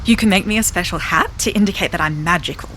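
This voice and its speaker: A woman's voice: lowish voice, fast talker, pushed and bright, slight background noise, low-quality recording. A woman playing a wise, self-assured talking poodle prophet in a comedic audio drama, speaking with grand, kindly earnestness. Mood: encouraging. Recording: music behind.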